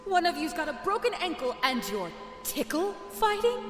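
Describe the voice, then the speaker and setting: A woman's voice: very high voice, very animated, moderate pace, pitched above the performer's own voice. A woman voicing an angry, scolding mother in an audio drama, snarling and exasperated. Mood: angry, scornful. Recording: distant echoey hall reverb, music behind.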